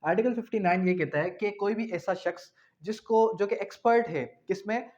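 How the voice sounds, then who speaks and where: A man's voice: high-pitched, normal speed, a little mellow. A man with a Pakistani accent giving an explanatory law lecture, mixing in English terms, repeating phrases and posing questions that he then answers. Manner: didactic, repeats phrases and asks himself questions. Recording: dull, lo-fi sound.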